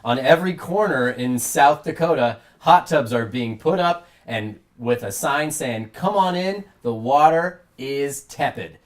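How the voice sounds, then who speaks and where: A man's voice: mid-range voice, animated, measured pace, hardly any pauses. A man hosting a late-night comedy talk show, reading mock news in a dry, mock-serious way and interviewing a guest.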